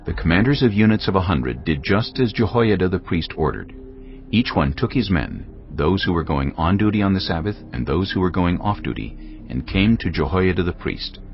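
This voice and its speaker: A man narrating scripture in a steady, measured reading for a dramatized audio Bible. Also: sits low, muffled recording, a little hiss or hum.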